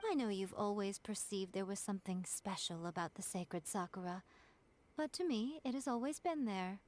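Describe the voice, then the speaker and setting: A woman's voice: mid-range voice, normal speed, darkish tone, a little hiss or hum, natural voice. A woman voicing a sly, teasing fox-spirit shrine priestess in a game, wry and knowing. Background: game soundtrack music.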